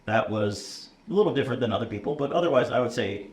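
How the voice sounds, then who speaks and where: A man's voice: medium pitch, lively intonation, quick pace, a little mellow. An American man in his thirties, a computer science instructor, talking casually in an interview with many ums and uhs and frequent "okay?" check-ins. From USA. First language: English. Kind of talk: relaxed conversational interview. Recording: roomy echo, faint room noise.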